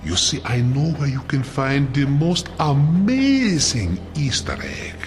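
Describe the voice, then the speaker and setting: This is a teasing male villain in a radio play, mannered and falsely genial. His pitch swings widely as he insinuates, with music clearly behind. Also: very animated, takes it slowly. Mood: slyly amused. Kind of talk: noir radio drama dialogue.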